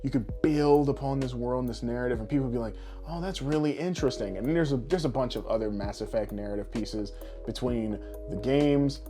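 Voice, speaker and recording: A man talks in a loose, chatty podcast style with an average-pitched voice. He is animated and swears freely as he co-hosts, and music plays behind him. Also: a little fast. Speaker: podcast co-host. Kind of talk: casual chat about games and films.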